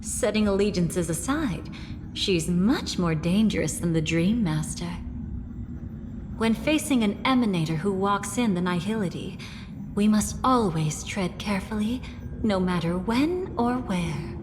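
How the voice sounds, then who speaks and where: An actress playing a composed, calculating woman in a video game, speaking in a measured, watchful way. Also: mid-range voice, expressive, noisy background, natural voice. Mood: grave, pondering. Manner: measured, deliberate. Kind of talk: scripted video game voice acting.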